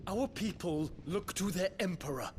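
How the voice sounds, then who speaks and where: Game role: earnest princely rebel, higher-set voice, formal oratory, noisy scene behind. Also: male voice, lively intonation, moderate pace. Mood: solemn, accusing. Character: earnest rebellious young prince and dragoon leader. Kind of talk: dramatic video game voice acting. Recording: clear background noise of scene ambience.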